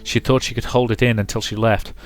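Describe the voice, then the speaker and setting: A man's voice: mid-range voice, steady tone, fairly quick, dry recording, faint music behind. A man commentating on and reading aloud from a visual novel as a let's play host.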